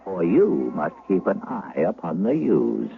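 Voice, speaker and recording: An actor playing a gruff old country shepherd with rustic, archaic speech in a radio drama. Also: male voice, deep voice, huge pitch swings, a little slow, phone-quality sound, exaggerated voice. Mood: gruff, teasing. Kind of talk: 1970s radio drama acting. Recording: thin, hissy vintage radio recording.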